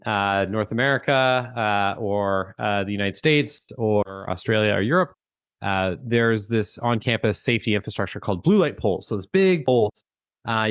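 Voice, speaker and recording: Canadian man, lowish voice, chatty, filler-heavy, dry lo-fi call audio. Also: no echo. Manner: fast, chatty, many fillers and restarts. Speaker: guest, startup founder and author. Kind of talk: casual interview conversation.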